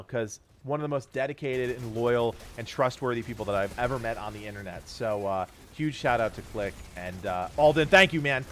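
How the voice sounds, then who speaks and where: A thirty-year-old man whose voice is going hoarse and stuffy, streaming live and thanking his viewers for donations in a grateful, rambling way. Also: medium pitch, a little hiss or hum. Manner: grateful, rambling, laughs often.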